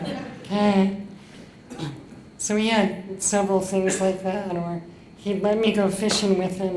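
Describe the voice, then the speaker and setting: A woman's voice: medium pitch, darkish tone. A middle-aged woman giving a personal eulogy full of anecdotes, speaking into a stand microphone in an indoor hall. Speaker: eulogist at a memorial, sister of the deceased. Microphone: microphone on a boom stand. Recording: roomy echo, clear background noise.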